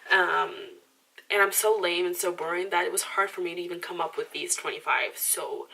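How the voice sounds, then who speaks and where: Young woman vlogger with a slight Romanian accent, low gravelly tone, chatty and self-deprecating, mic a little off. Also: steady tone, normal speed, sharp tone, recorded from a distance, a little hiss or hum, low-quality recording. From Romanian background. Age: 20s. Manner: rambling, lots of "like" and restarts, self-deprecating. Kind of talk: casual chatty monologue to camera.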